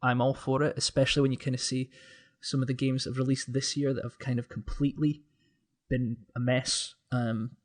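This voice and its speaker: A man's voice: medium pitch, flat delivery, fairly quick. A man chatting casually as a podcast panelist, often repeating words as he forms his point.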